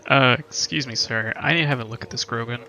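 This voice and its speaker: A man's voice: medium pitch, fast, pushed and bright, faint music behind. A man playing a timid, polite medic in an audio drama, hesitant and apologetic.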